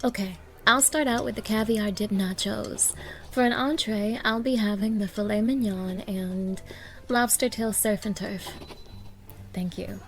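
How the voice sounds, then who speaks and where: A woman's voice: mid-range voice, steady tone, unhurried, lightly acted. A woman playing a haughty, demanding young gold digger on a date, scolding and condescending in an audio roleplay. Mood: breezy, polite. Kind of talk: ASMR-style dramatic roleplay.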